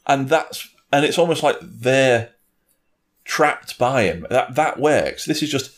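A man's voice: medium pitch, animated, takes it slowly. A man chatting casually and giving wry, opinionated reviews, with some swearing and mimicry. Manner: wry, sweary, puts on mock voices while ranting. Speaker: film and game reviewer in a two-person discussion.

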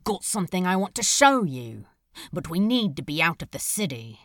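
A woman's voice: fairly low pitch, sing-song, moderate pace, fairly bright tone, no echo, clean studio sound. A woman playing an irritable, snarling male demon in a fan audio drama, with exasperated, dramatic delivery. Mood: sullen, hurt. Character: irritable, snarling male demon, defensive about his car.

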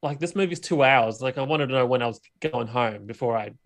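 A man's voice: mid-range voice, fairly quick. A man co-hosting a podcast in a casual, conversational style. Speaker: podcast co-host. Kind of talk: casual chat.

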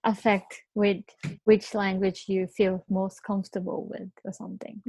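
A woman's voice: medium pitch, flat delivery, a little slow, gravelly, a little mellow, breathy edge, no echo, poor audio. A young woman, a native Japanese speaker with a Japanese accent, chatting casually as a podcast co-host. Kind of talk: casual chat.

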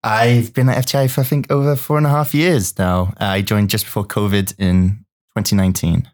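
A man from the UK talks as a podcast guest in a low voice. He speaks informally, telling stories in a conversational style. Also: fairly even intonation, a little fast, darkish tone. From United Kingdom. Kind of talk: casual storytelling.

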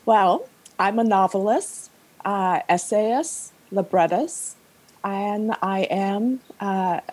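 A woman's voice: medium pitch, slow, a little mellow. A woman in her fifties from Vietnam, Vietnamese her first language, answering a remote interview thoughtfully with many fillers. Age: 50s. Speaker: guest, novelist.

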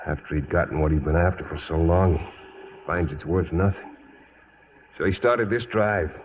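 Actor doing terse young cattle drover, american, real deep, halting, old radio with faint hiss. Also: male voice, close to an everyday voice. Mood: pensive, halting. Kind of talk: 1940s radio acting. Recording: muffled, faintly noisy 1940s radio.

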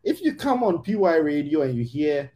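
Animated man with a lively pitch. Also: normal speed. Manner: animated, emphatic, often asks "right?". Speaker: podcast host. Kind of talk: casual conversational podcast chat.

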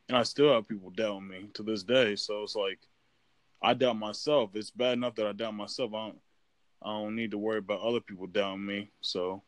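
Man, low voice, dry close sound, rambling, lots of fillers. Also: no echo. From United States. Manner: rambling, filler-heavy, restarts often. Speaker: podcast guest. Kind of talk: casual chat.

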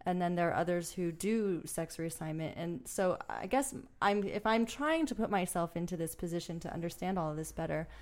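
A woman's voice: on the low side, brisk, few pauses, a little mellow. A woman co-hosting a casual interview podcast, asking hesitant, searching questions. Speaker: podcast co-host.

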